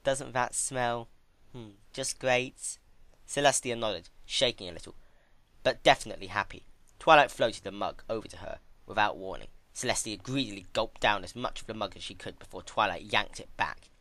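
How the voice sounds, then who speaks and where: A man narrating a story in an expressive, dramatic reading style. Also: fairly low pitch, fairly quick, dry recording. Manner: animated, expressive delivery. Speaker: fan fiction reader.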